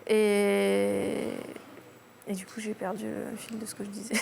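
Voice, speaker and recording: A woman in her thirties, a talk show guest, telling a personal story in halting sentences that restart and trail off. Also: mid-range voice, monotone, creaky, a little mellow, a little hiss or hum. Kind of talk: emotional personal testimony. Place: television studio.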